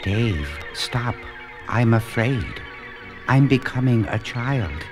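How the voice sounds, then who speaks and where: A man voicing a calm, polite, menacing ship's computer with a measured, unemotional delivery in a children's read-along audio drama. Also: mid-range voice, lightly acted. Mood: fearful, failing. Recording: music under the voice.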